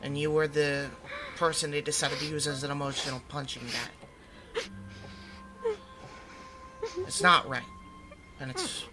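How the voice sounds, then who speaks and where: A woman playing a protective mother in an audio roleplay, gently and earnestly reassuring her child in a halting way. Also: deep voice, fairly even intonation, music in the background, poor audio. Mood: earnest, upset. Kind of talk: audio roleplay drama acting.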